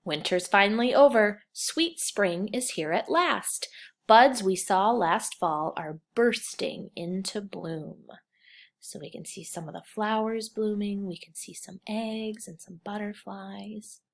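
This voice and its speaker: A woman with a mid-range voice reads a picture book to young children. She speaks as a kindergarten teacher, in simple, expressive phrases. She breaks up the story with questions and prompts for her listeners. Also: medium pitch. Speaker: kindergarten teacher reading aloud.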